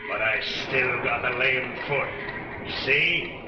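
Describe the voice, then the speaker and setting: An actor playing an embittered, sardonic escaped convict and burglar, slangy and sneering, in 1930s film style. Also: male voice, medium pitch, slow pace, music in the background, close to an everyday voice. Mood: sardonic, menacing. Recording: muffled, hissy, echoey, distant 1930s film soundtrack.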